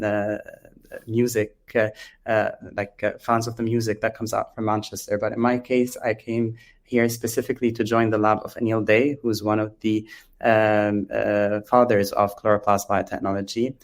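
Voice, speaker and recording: A man from Lebanon with a Lebanese accent, explaining things as an interview guest, halting often with drawn-out ums. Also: on the low side, flat delivery. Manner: hesitant, halting, with frequent long ums. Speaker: interview guest, biotech company founder.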